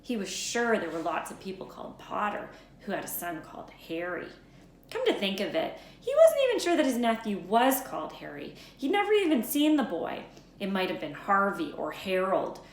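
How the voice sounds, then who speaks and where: Female storyteller, slightly low register, expressive and emphatic read-aloud delivery, roomy sound with light background noise. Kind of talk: expressive scripted storybook narration. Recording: echoey room, faint background noise.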